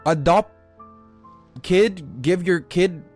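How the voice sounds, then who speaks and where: A man's voice: sits high, drawn-out words, a little mellow, soft background music. A man giving casual, slangy, incredulous reaction commentary on a game livestream. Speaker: game streamer.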